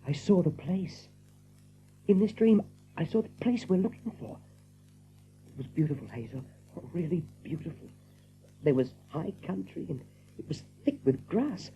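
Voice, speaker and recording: Visionary young rabbit: soft-spoken, sincere, dreamy; muffled audio drama with faint hum. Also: male voice, high-pitched, animated, moderate pace, long pauses. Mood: dreamy, wistful. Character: gentle, dreamy young male rabbit seer.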